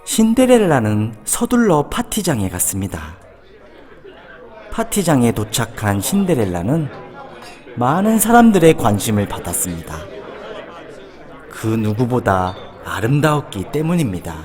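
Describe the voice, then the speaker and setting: A man's voice: medium pitch, sing-song, measured pace, a little mellow, a faint crowd. A man reading a children's fairy tale aloud as a scripted storybook narrator.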